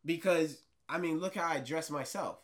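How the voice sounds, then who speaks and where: A young man, an actor, chatting casually with a light voice and animated, excitable bursts of pitch and loudness. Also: normal speed. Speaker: actor guest. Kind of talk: casual chat.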